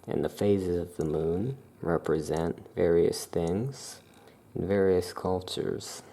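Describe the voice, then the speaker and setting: Soft-spoken ASMR shopkeeper, unhurried and calm, with a mellow, gravelly tone. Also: low-pitched. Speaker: witch shop keeper presenting amulets.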